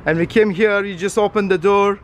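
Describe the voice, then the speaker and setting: A man's voice: sits high, fairly even intonation, brisk, crowd noise. A young man in his twenties talking to a handheld camera while walking through an outdoor car lot, in chatty, non-native English. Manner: non-native phrasing, chatty, pointing out cars. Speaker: vlogger. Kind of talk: casual walk-and-talk vlog. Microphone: camera held at arm's length. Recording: faint outdoor street noise.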